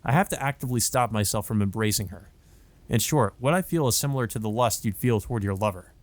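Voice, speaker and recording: A man's voice: on the low side, animated, fast, lightly acted. A man voicing an earnest young male student who narrates his thoughts in a visual novel. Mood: sincere. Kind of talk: visual novel monologue read aloud.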